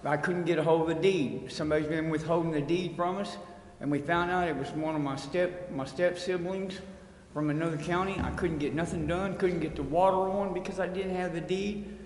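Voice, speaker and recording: Male resident at a hearing, slightly high voice, plain-spoken and pleading, in a reverberant hall. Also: fairly even intonation, fairly quick. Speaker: resident appealing at a city council hearing. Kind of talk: unscripted public plea. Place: council chamber. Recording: echoey hall, long reverb, faint noise.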